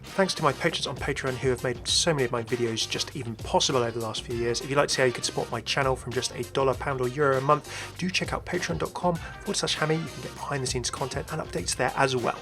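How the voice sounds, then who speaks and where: A man's voice: mid-range voice, fast talker, hardly any pauses, a bit bright, faint music behind. A man presenting explanatory video game commentary as a voice-over. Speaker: video host.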